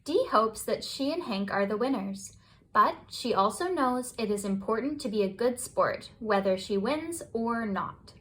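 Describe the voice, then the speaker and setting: A woman reading a children's picture book aloud as scripted bedtime narration, with expressive, deliberate phrasing. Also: fairly high pitch, rough recording. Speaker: children's storybook reader.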